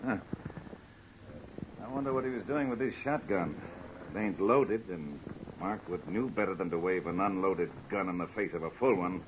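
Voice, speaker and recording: An actor plays a weary American police sergeant with a low voice and folksy, matter-of-fact talk. It is vintage radio drama on a dull recording with faint noise. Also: male voice, normal speed, lightly acted. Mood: puzzled, businesslike. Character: plain-spoken, weary male police sergeant. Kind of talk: old-time radio acting.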